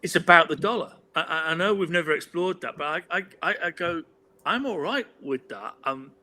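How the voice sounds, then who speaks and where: A British man hosting a livestream panel, opinionated and animated, with frequent stammered restarts. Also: a little high, brisk. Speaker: host.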